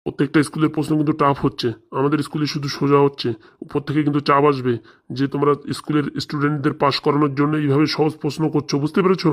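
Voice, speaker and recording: A man's voice: on the high side, little pitch movement, rapid-fire, natural voice. A man voicing a caring, mildly bossy head schoolmaster in a comedy cartoon, fretful and instructing.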